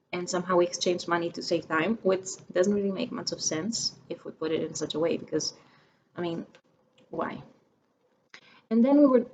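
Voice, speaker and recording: A woman's voice: lowish voice, gravelly, a little mellow, a little hiss or hum, low-quality recording. A young woman from Greece, talking to camera in a reflective personal vlog, musing aloud with many restarts while she searches for her words. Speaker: vlogger.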